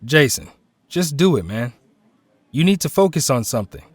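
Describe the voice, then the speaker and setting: A man's voice: mid-range voice, sing-song, moderate pace, no echo. A man playing a supportive, plain-spoken young superhero in an audio drama, talking casually. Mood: urging.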